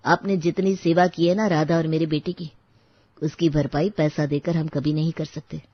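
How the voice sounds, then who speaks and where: An actor voicing a grateful, protective husband and father, earnest and emphatic, in an animated moral story. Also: a little high, moderate pace, no room sound, muffled recording, natural voice. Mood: earnest gratitude.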